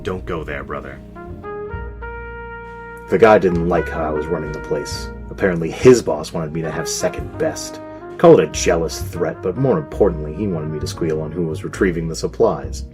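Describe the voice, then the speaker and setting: An actor playing a gruff, world-weary New York speakeasy barkeep in a 1920s gangster radio drama, tough-talking in period slang. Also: male voice, rumbling low, moderate pace, music in the background. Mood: guarded, bitter.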